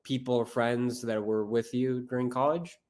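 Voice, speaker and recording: A man's voice: mid-range voice, steady tone, normal speed, a little mellow. A man hosting a podcast, asking questions and chiming in with short agreeing replies. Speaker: podcast host. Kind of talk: casual interview chat.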